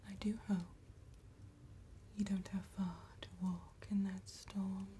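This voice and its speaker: A woman voicing a courteous, sly female vampire tattooist in a gothic ASMR roleplay, soft and breathy, with formal phrasing and veiled menace. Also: mid-range voice, little pitch movement, slight background noise, lightly acted. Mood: quietly menacing. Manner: slow, formal, old-fashioned politeness with veiled menace.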